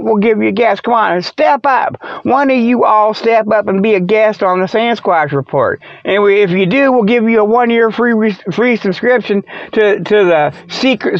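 A man's voice: high-pitched, creaky, no echo, lo-fi sound. A man hosting a comic show, rambling off the cuff in a joking, folksy way with loose colloquial grammar and chuckles at himself. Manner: colloquial rural-style grammar such as ain't and them.

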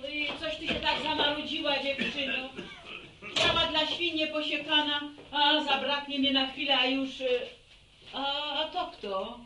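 High, bright, scolding peasant mother. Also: fairly even intonation, a little slow. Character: scolding, bossy middle-aged peasant mother. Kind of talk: radio serial acting. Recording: roomy, distant, faintly noisy radio recording.

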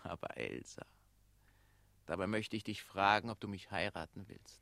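A smug, bright-voiced scheming official. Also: male voice, low voice, animated, moderate pace, creaky, no echo, heavily acted. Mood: smug, coaxing. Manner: commanding, self-important. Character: smug, calculating, domineering official, the mayor's son. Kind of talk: radio play acting.

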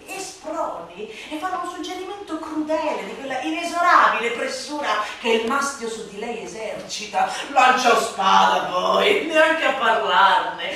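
A woman giving a theatrical, mocking, declamatory recitation of a satirical text, swinging between hushed slow phrases and loud sing-song. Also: a little high. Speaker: stage performer reciting a literary monologue. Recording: very echoey room, distant mic, light noise.

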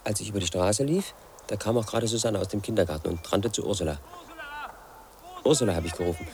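An actor in his thirties playing an obsessive, aggrieved man who tells his story in a radio play monologue, in a flat, insistent, quietly resentful way. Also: on the low side, fast, slight background noise, lightly acted. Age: 30s. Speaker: narrator of his own story.

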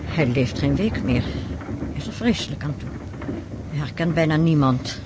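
A woman's voice: deep voice, lively intonation, moderate pace. An actress in a radio drama playing an anxious, scheming, impoverished mother, fretful and urging. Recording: clearly noisy radio play recording.

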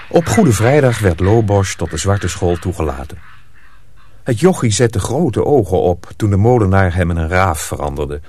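A man narrating a radio play as a measured storyteller. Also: low-pitched, darkish tone, a little hiss or hum. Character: measured male storyteller of a dark folk tale.

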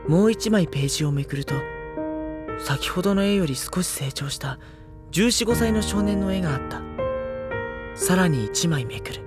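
An actor playing a mild, bookish high school boy with dry retorts, narrating and talking in an audio drama. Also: animated, normal speed, pauses often, loud music behind the voice. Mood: calm, reflective.

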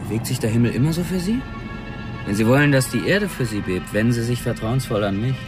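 An actor playing a cocky, flippant computer-crime police sergeant in a radio crime drama, casual and mocking. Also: male voice, mid-range voice, animated, quick pace, lightly acted. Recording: loud music behind the voice.

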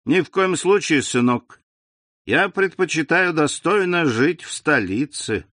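A man's voice: fairly high pitch, animated, measured pace, fairly bright tone, no echo, studio quality, voice pushed up. A man voicing a sly aging innkeeper. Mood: mocking. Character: sly aging male innkeeper, scheming and mocking.

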